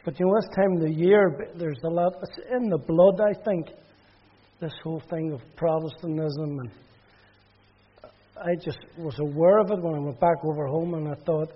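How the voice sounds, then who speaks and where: Husky man in his sixties from Northern Ireland. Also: fairly high pitch, steady tone, normal speed, lo-fi sound. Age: 60s. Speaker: interview guest. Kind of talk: interview.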